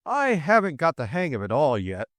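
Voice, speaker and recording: A man's voice: fairly high pitch, wildly swooping pitch, normal speed, a bit bright, no echo, voice pushed up. A man voicing an anxious, earnest upper-class young man in an audiobook drama, hesitant and full of old-fashioned exclamations. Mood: worried, earnest.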